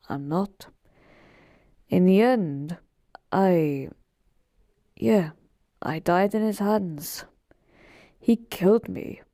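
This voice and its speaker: A woman playing a polite, weary genie bound to serve, speaking in a soft, measured, wistful way in an intimate audio roleplay. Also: fairly low pitch, no echo, studio quality. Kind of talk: slow, intimate roleplay monologue to a listener.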